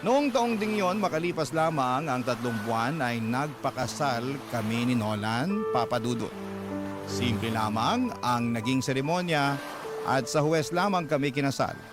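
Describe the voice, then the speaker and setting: A man's voice: medium pitch, animated, moderate pace. A man with a Filipino accent giving dramatic, scripted first-person narration for a radio drama. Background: music bed.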